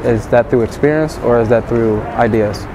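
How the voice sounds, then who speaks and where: A young man talks on a busy city square, mostly in a low and unhurried voice, and he turns livelier when he gives advice. He is picked up from a distance, and loud traffic and passing crowds run underneath. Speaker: dating coach. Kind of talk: street pickup talk and to-camera advice. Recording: street noise and traffic behind.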